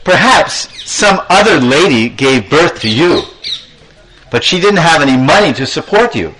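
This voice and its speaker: Man as a taunting young cowherd boy, raised pitch. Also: big pitch swings, measured pace, bright, forceful tone, slight background noise, heavily acted, low-quality recording. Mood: mock-explaining. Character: teasing young cowherd boys, mocking and cocky.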